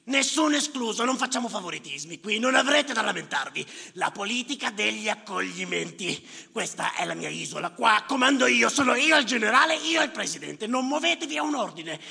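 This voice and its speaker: An actor playing a boastful, bitter island general in a theatrical stage monologue, with a harsh, declamatory delivery. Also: male voice, high voice, lively intonation, a little fast, hardly any pauses, pushed and bright, heavily acted. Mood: boastful, self-important. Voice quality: harsh, gravelly voice. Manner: declamatory, commanding, emphatic, sardonic. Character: boastful, bitter, self-appointed island general herding dead spirits. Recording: echoing theater hall with live music.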